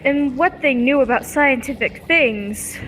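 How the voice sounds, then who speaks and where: A woman's voice: high-pitched, unhurried, a bit bright, poor audio. A young woman playing a curious, admiring assistant to a scientist in an old-time radio serial. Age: teenager or young adult. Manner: inquisitive, eager. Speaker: student actor. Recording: faint background noise.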